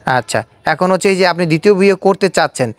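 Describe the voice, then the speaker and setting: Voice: mid-range voice, expressive, quick pace, no room sound. An interviewer with a Bangladeshi accent, hosting a casual interview and probing by repeating answers back as questions. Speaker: interviewer and host. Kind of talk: casual on-camera street-style interview.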